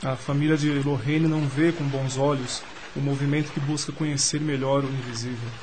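An actor playing an earnest, courteous young gentleman student in love, speaking formally in a radio drama. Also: medium pitch, fairly even intonation, brisk, a little hiss or hum, close to an everyday voice. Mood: subdued, explaining. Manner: formal, polite.

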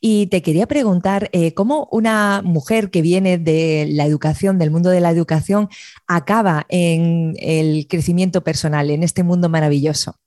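Low-voiced woman, encouraging interviewer. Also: fairly even intonation, normal speed, no echo, studio quality. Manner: warm encouraging interjections, wordy questions. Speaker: host, voice coach.

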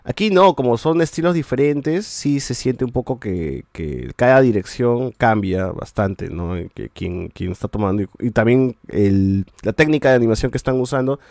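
A man's voice: mid-range voice, expressive, moderate pace, creaky, few pauses, darkish tone, no room sound, clean studio sound. A man, hosting a podcast and reviewing shows in casual chat, rambling with many restarts and a habit of ending sentences with a questioning tag.

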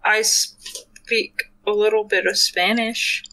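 A woman's voice: mid-range voice, steady tone, takes it slowly, bright, forceful tone, poor audio. A woman co-hosting a podcast, chatting casually with friends in a playful, giggly, protesting way. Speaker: podcast co-host.

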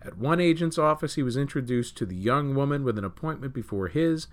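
A man reads a scripted article as a news program host, in a mid-pitched voice that moves up and down a great deal. His delivery is measured and story-like, leaning firmly on the openings of phrases. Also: animated. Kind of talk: scripted narration, reading a written article aloud.

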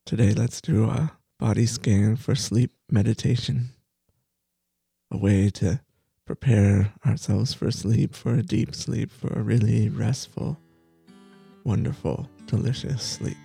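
A man who is a meditation teacher, leading a guided body scan for sleep with slow, soft, soothing phrasing that repeats itself. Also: on the low side, fairly even intonation, vocal fry, breathy edge, dry recording, clean studio sound, faint music behind.

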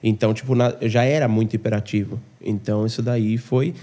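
A man hosting a podcast from home, chatting casually in an animated, slangy way and often talking about himself. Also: on the low side, brisk. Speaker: podcast host. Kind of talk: casual interview chat.

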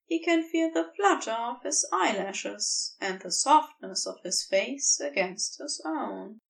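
A woman reading a tender romantic story as scripted narration, in a gentle, literary manner. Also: fairly high pitch, animated, slow pace, vocal fry, distant mic. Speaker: fan fiction audiobook reader.

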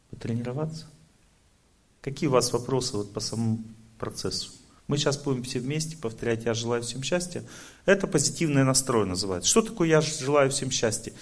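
Male spiritual lecturer, mid-range voice, didactic, walks listeners through each step of a motivational teaching. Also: medium pitch. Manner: didactic, explaining step by step with rhetorical check-ins.

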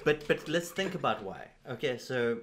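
A man hosting a podcast, chatting informally and musing out loud, with some swearing. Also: mid-range voice, big pitch swings, moderate pace, slight background noise. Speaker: podcast host. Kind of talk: casual podcast conversation.

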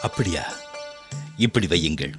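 An actor playing Jesus as a compassionate teacher in a radio drama, speaking in a measured, formal and gentle way. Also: male voice, very deep voice, animated, heavily acted. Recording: radio drama with soft music underneath.

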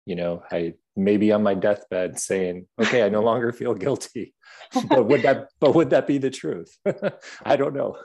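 A man with a soothing, gentle voice, talking thoughtfully as a guest in a podcast interview. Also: fairly low pitch, unhurried, gravelly, slightly rough. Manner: gentle, soft-spoken, thoughtful. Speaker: app creator, podcast guest. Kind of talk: reflective interview conversation.

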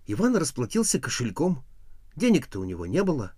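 A man reading a folk tale as an expressive storyteller. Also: mid-range voice, brisk, husky, no echo, clean studio sound. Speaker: storyteller, audiobook reader.

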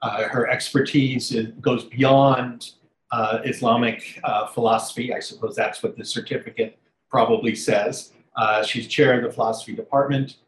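A man introducing a guest, self-deprecating and halting with frequent uhs. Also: medium pitch, steady tone. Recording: roomy with faint background noise.

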